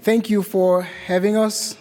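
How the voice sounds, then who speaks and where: Young man from Haiti, high voice, slow deliberate testimony, church reverb. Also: flat delivery, dark tone, a little hiss or hum. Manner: slow, deliberate, repeating phrases. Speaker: guest speaker at Mass. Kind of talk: personal testimony to a congregation.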